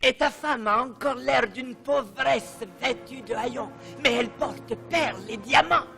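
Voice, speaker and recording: A man's voice: very high voice, a little slow, gravelly, sharp tone, faint music behind, heavily acted. A man playing a nosy, cowardly accomplice of a judge in a French cartoon dub. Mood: prying, accusing.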